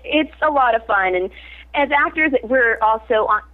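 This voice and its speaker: A woman's voice: fairly high pitch, animated, normal speed. A woman actress in a casual interview, talking animatedly and laughing often. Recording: tinny phone-like line.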